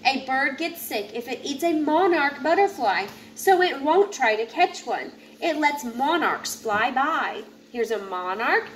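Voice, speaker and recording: A woman with a high voice reads a children's picture book aloud at an unhurried, slow pace. Her delivery is deliberate and instructive. It is a home recording with faint background noise. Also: fairly bright tone. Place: home living area with kitchen, indoors. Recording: noisy home recording, room ambience.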